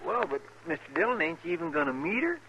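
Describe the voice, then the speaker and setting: An actor playing an earnest, naive cowboy sidekick with a rural western American drawl and a folksy, deferential manner in a radio drama. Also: male voice, a little high, animated, fast, vocal fry, sharp tone, full character voice. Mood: hesitant curiosity. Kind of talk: 1950s western radio acting. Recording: noisy, muffled old radio broadcast.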